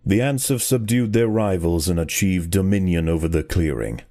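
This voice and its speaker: Deep, mellow male documentary narrator. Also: lively intonation, normal speed. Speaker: game narrator. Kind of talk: scripted nature documentary narration.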